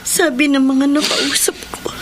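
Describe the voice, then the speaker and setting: Woman acting very high-pitched, tearful pleading wife. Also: flat delivery, normal speed, background noise, lightly acted. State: crying.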